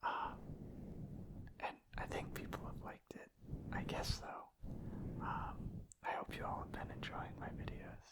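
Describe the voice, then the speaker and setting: Softly whispering man, gentle and rambling. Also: a little slow, pauses often. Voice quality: soft, breathy, unvoiced whisper. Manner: gentle, hesitant, rambling, self-effacing. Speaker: ASMR video creator and streamer. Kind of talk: whispered ASMR ramble, casual and unscripted.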